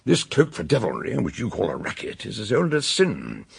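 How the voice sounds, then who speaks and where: An actor in a radio detective drama playing an eccentric older doctor, a grave scholarly sleuth who speaks in a ponderous, theatrical way, with a gravelly, husky voice. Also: male voice, on the low side, huge pitch swings, moderate pace, exaggerated voice. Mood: grave, emphatic.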